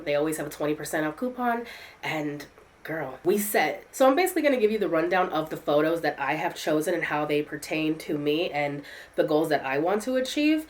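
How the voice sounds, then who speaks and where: A woman content creator chatting candidly to her viewers in a casual vlog, congested from a head cold. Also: deep voice. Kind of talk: casual talking-to-camera vlog.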